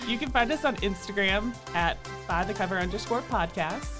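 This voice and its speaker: Clear music plays behind a woman with an average-pitched voice. She delivers an upbeat promo as a podcast host. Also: normal speed. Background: music bed.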